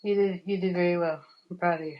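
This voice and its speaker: A woman's voice: on the low side, steady tone, fast talker, dull audio. A woman chatting casually as a podcast co-host, easygoing and colloquial in the way she talks. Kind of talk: casual chat.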